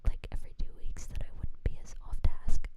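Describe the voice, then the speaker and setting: A woman VTuber streamer whispering through a relaxed ASMR chat, rambling and joking about herself, on a standard non-binaural mic. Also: fairly quick. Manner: rambling, self-mocking, giggly.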